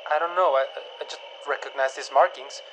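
Woman playing a low-voiced, inquisitive female stranger. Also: steady tone, fast talker, lightly acted, rough recording. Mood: hesitant, fascinated. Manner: hesitant, polite, inquisitive. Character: cautious, fascinated stranger studying found specimens. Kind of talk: audio drama acting. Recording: faint noise, night insects and frogs behind. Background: crickets, frogs, outdoor ambience.

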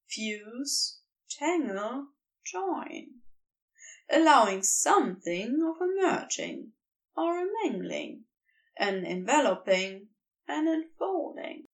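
Slow, high-voiced woman reading a story. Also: gravelly, far from the mic. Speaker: podfic reader. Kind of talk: scripted story narration.

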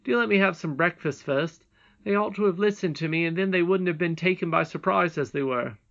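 A woman's voice: on the low side, fairly quick, lo-fi sound, pitched above the performer's own voice, close to an everyday voice. A woman voicing a brave, humble young miner boy in a storybook, frank, earnest and emphatic. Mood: hungry, insistent.

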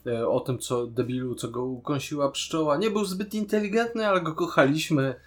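A man's voice: fairly high pitch, moderate pace. A man talking casually as a podcast co-host, giving his opinions in an informal way. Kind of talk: casual review chat.

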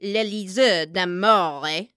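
A woman's voice: mid-range voice, sing-song, normal speed, bright, forceful tone, no room sound, clean studio sound. A woman voicing a proud, scornful Italian opera diva in an audiobook, accusing and haughty. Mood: indignant. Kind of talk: audiobook character acting.

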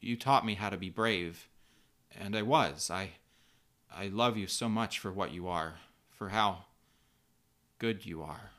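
A man's voice: low voice, unhurried, long pauses, a bit bright. Man acting out an impassioned young superheroine. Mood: weak, tender. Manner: impassioned, emotional, theatrical. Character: young brave superheroine, passionate and selfless. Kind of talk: dramatic comic-book storytelling.

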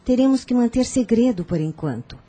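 An actress playing a discreet, protective middle-aged aunt in a radio soap, with a measured, formal, confiding delivery. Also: female voice, fairly high pitch, lively intonation, warm tone. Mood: grave, confiding. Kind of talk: radio soap opera acting.

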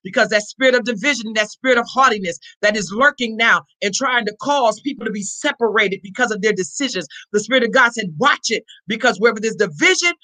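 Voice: medium pitch, lively intonation, fast, few pauses, bright, forceful tone, poor audio. A pastor giving a fervent charismatic church sermon, exhorting emphatically with repeated refrains and interjections, often raising the voice.

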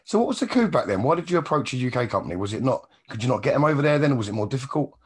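A man hosting a podcast in a relaxed, chatty way, his voice hoarse and croaky. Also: medium pitch, rapid-fire. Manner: relaxed, chatty, loose phrasing. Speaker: podcast host. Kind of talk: casual chat.

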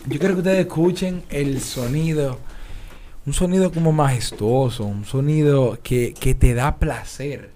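A man's voice: medium pitch, measured pace, dark tone, a little hiss or hum. A man co-hosting a casual chat, making playful, mock-grand announcements. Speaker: co-host.

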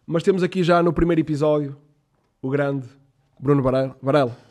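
A man's voice: on the high side, a little fast, darkish tone. A man with a European Portuguese accent, a comedian hosting a podcast, who teases his guest in casual chat, laughs often and fills his speech with lots of fillers.

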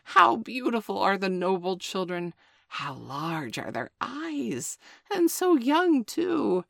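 A woman voicing a small, fawning and spiteful male jackal who drawls his flattery in breathy whispers. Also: medium pitch, no echo, clean studio sound, pitched above the performer's own voice, exaggerated voice. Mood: wheedling. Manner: wheedling, breathy whispers, drawn-out words.

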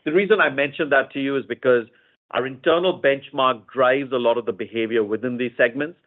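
A man speaking as a company executive, giving measured, explanatory answers in a semi-formal earnings call style, often repeating phrases. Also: mid-range voice. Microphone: conference call line. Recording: narrow tinny phone-line sound.

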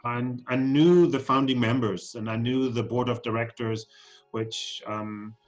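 A man's voice: medium pitch, fairly even intonation, a little slow, soft background music. A man in his forties, born in Scotland and raised in Germany, answering questions as a podcast guest, with frequent ums and restarts. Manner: hesitant, many ums and restarts. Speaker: guest, fashion council CEO. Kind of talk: podcast interview.

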